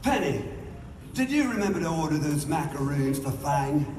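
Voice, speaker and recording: Brash excitable rock star for animation; high, animated, swaggering, recorded distantly in an echoey hall. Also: male voice, normal speed, a little hiss or hum. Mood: cool, demanding. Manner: swaggering, exclamatory, slangy. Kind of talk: animated series table read. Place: large convention panel room.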